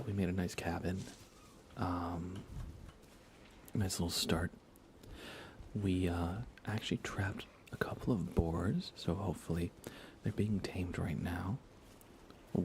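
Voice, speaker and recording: A soft-spoken man chatting casually as he hosts an ASMR gaming stream, often slipping into whispers. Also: low-pitched, steady tone, unhurried. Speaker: livestream host. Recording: faint computer fan noise. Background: computer fans, game ambience.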